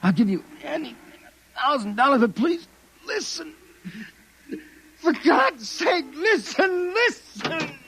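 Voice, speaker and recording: An actor playing a jittery young private eye in a 1950s radio drama, halting and earnest as he speaks. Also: very high-pitched, big pitch swings, bright, forceful tone, heavily acted. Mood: desperate pleading. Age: young adult. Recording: noisy old 1950s radio, clicks.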